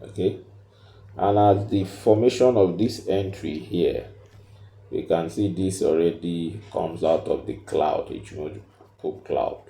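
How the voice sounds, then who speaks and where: A man giving an unscripted step-by-step tutorial in a halting, repetitive, instructional way, recorded in an echoey room. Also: low voice, warm tone. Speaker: trading tutorial presenter.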